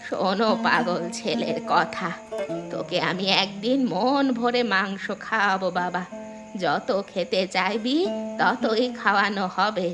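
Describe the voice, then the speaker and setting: A woman's voice: mid-range voice, unhurried, natural voice. Lady playing a poor loving village mom in a fairy tale cartoon, plaintive, music behind her. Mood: tender. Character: poor, humble, long-suffering village mother, loving and protective.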